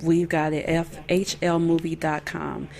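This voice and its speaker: A woman's voice: low voice, level tone, unhurried, gravelly, a little mellow, a little hiss or hum. A woman in her thirties hosting a faith podcast, giving thanks and wrapping up an interview in an earnest way. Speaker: podcast host. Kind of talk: interview wrap-up and outro.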